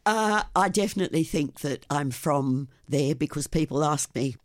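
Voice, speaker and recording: An older woman, a guest on a radio interview, telling stories about her travels and her blog with warm enthusiasm. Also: sits low, moderate pace, no room sound, studio quality. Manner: enthusiastic, animated storyteller. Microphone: studio microphone.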